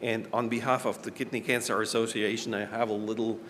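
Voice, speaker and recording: Low-voiced man hosting in an echoey hall. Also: level tone, brisk, a bit bright. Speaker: conference host introducing a speaker. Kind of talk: formal but friendly stage introduction. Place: large conference hall. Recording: echoey hall, faint background noise.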